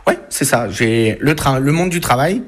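A man from Belgium answers interview questions in a casual, colloquial way. His voice sits at a medium pitch, and his phrasing is plain-spoken, with some repetition. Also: expressive, moderate pace. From Belgium, Ardennes. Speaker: interviewee, Lego builder.

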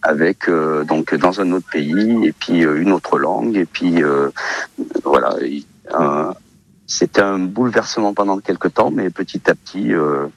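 A man's voice: low-pitched, unhurried, vocal fry, slightly rough. A middle-aged man from Portugal, answering interview questions hesitantly, with many fillers and restarts. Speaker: interviewed guest, market olive oil seller. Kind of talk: casual radio interview answers.

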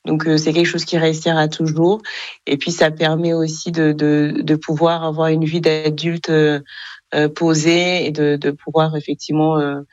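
A young woman from Rwanda, guest on a radio interview, who speaks hesitantly with many fillers and soft laughs. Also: sits low, level tone, normal speed, no room sound, muffled recording.

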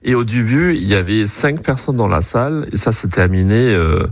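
A middle-aged man with a German accent speaks French in a low register. He is a park director giving an interview, looking back on his work as a native German speaker would in a second language. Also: lively intonation, normal speed. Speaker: regional nature park director. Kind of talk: recorded interview, recollecting.

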